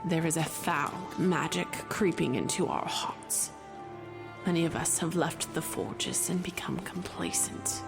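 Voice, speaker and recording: A woman's voice: sits low, lively intonation, a little slow, vocal fry, exaggerated voice. A woman playing a stern, proud dwarf marshal in a fantasy audio drama, with a strong, carrying, formal and scornful delivery. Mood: grave, troubled. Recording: music under the voice.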